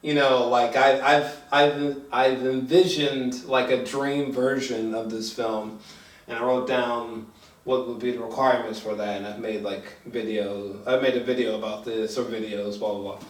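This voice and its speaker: A man chats casually as he reviews a film, in a mid-pitched voice. He rambles and halts, repeating himself for emphasis and cursing freely. The sound is roomy and set back from the microphone. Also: flat delivery, normal speed. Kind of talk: casual, spoiler-filled film review chat. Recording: echoey room, distant mic.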